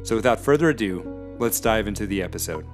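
A man's voice: fairly low pitch, animated, quick pace, over music. A man reading a scripted introduction for a church podcast. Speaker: podcast announcer.

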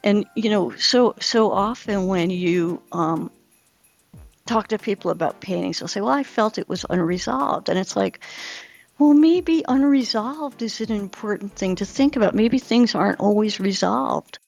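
A middle-aged woman with plenty of vocal fry and a medium pitch talks reflectively in an interview. She is thoughtful and halting, working out each idea as she goes. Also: a little mellow, soft background music. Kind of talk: reflective conversational interview talk.

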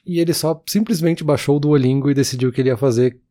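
A man, co-hosting a podcast in relaxed casual chat, full of fillers. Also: fairly high pitch, fast talker. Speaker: podcast co-host.

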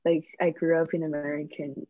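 A woman's voice: sits low, flat delivery, a little fast, dry recording, highs cut off. A young woman from China who grew up in the US from age ten, talking casually in a student discussion. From China until age ten, then the US.

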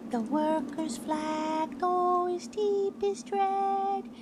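A woman's voice: extremely high pitch, fairly even intonation, extremely slow, recorded from a distance, over loud music, full character voice. A woman playing a ragged poor street child, singing in a radio drama. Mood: plaintive.

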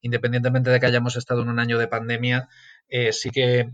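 A man's voice: medium pitch, completely flat delivery, fairly quick, dry recording. A man from central Spain, a guest lecturer answering interview questions thoughtfully, with frequent fillers and restarts. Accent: Spanish (Castilian). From Castilla-La Mancha, Spain. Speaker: guest, sports management lecturer. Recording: dull lo-fi remote audio.

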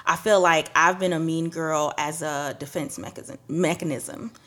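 A young woman from Trinidad telling a personal story candidly on a podcast, getting animated when she quotes people. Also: low-pitched, moderate pace. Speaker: podcast co-host. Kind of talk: casual podcast chat.

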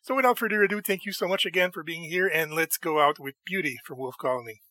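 A man hosting a music show in a casual solo monologue, enthusiastic and chatty, his voice slightly hoarse from talking. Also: on the high side, fast. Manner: enthusiastic and chatty, with frequent laughs.

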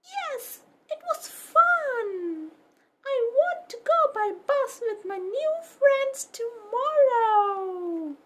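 A woman voicing a sweet, excitable and whiny little boy puppy in a children's storybook, with a childlike, eager manner. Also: extremely high pitch, very slow, pitched above the performer's own voice, heavily acted. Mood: delighted. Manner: childlike, eager, tearful when upset. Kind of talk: children's storybook read-aloud.

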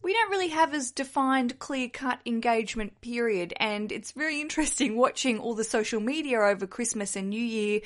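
A woman's voice: fairly high pitch, lively intonation, moderate pace, few pauses. An Australian woman hosting a podcast alone, chatty, jokey and candid. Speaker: podcast host. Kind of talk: solo podcast chat.

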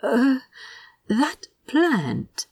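A woman voicing a gentle, stubborn male angel in a story, prim, polite and soft-spoken. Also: high-pitched, huge pitch swings, extremely slow, vocal fry, slightly rough, no echo, voice pushed up, full character voice. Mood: hesitant, firm.

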